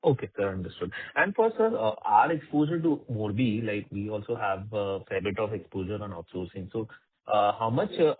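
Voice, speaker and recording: A man's voice: lowish voice, slightly rough. A man with an Indian accent asking questions as an analyst on an earnings call, in a deferential manner. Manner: deferential, often says sir. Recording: tinny phone line.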